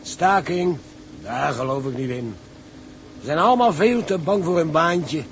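A man's voice: fairly high pitch, lively intonation, a little slow, slight background noise. An actor playing a blunt, sceptical seaman in a radio drama.

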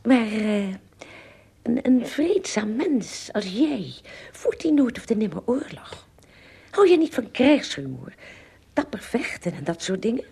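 A woman playing a pompous, excitable tiny king in a radio play, with a raspy, creaky voice and a grandiose manner. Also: fairly high pitch, unhurried, full character voice. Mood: curious.

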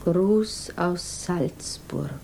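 An actress playing a wistful, guarded young widow who speaks earnestly in a 1960s German radio drama. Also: female voice, on the low side, drawn-out words, gravelly, warm tone, a little hiss or hum, heavily acted.

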